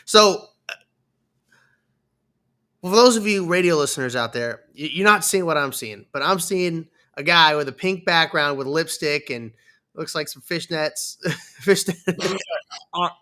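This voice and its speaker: A man's voice: on the high side, a little slow, pauses often, pushed and bright. A man in his thirties hosting a podcast, chatting casually as he interviews a guest. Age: 30s. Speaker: podcast host.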